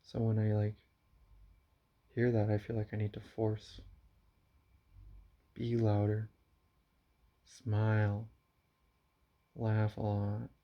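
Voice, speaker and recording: A young man talking quietly into his camera at home in a confessional vlog, halting and subdued, with frequent sighs. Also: on the low side, monotone. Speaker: vlogger. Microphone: camera mic. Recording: dull lo-fi camera audio.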